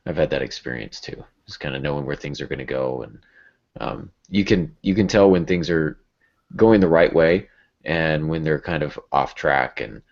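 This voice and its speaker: A man's voice: very deep voice, moderate pace, vocal fry. A man hosting a casual podcast in a playful, joking manner. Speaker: podcast host, creative writing teacher. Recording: dull, muffled audio.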